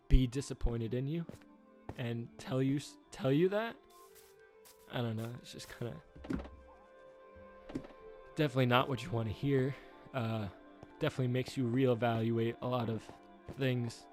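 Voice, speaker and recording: A man in his twenties rambling through a personal update, halting with many fillers and restarts, subdued by a rough time. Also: mid-range voice, a little mellow. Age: 20s. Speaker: YouTuber.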